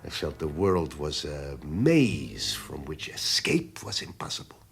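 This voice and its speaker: Radio drama villain: dandyish crime lord, slow and gravelly, mock-polite menace, hushed intensity. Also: male voice, very deep voice, sing-song, soft background music, heavily acted. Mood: brooding, haunted. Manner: theatrical, mock-courteous, deliberate, dropping into hushed intensity. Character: menacing, dandyish male crime boss, vengeful and courteous. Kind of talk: 1980s radio drama acting.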